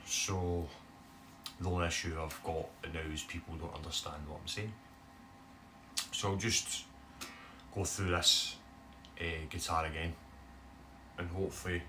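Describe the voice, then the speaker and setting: A man with a broad Scottish accent, talking casually to camera for his bass guitar channel, rambling with lots of "eh" fillers. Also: distant mic, background noise. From Scotland. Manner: chatty, rambling, many "eh" fillers. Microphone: phone camera.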